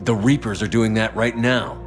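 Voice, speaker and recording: A determined military commander played by a man speaks very low and directly. His terse sci-fi game lines are backed by prominent music. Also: fairly even intonation, moderate pace, natural voice. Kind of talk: cinematic sci-fi game dialogue.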